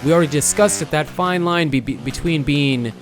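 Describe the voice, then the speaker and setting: A young man with a hoarse, fading voice, chatting casually as he comments on his own gameplay, often stopping to correct himself. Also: fairly high pitch, a little fast, faint music behind. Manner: rambling, self-correcting, chatty. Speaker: gaming channel host.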